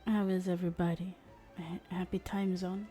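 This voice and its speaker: A woman streamer chatting casually as she games, in a halting, rambling way, with music behind her. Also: lowish voice, flat delivery, dark tone. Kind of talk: casual livestream chat while gaming.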